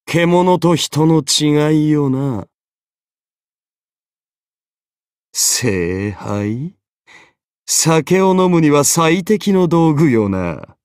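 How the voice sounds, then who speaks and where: Casting: an arrogant anime game king, imperious and condescending, slow, deliberate delivery, swinging widely in pitch. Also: big pitch swings, a little mellow, clean tone, no room sound, clean studio sound, full character voice. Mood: disdainful. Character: arrogant golden-armored male king, haughty, easily bored. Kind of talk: dramatic anime game character voice acting.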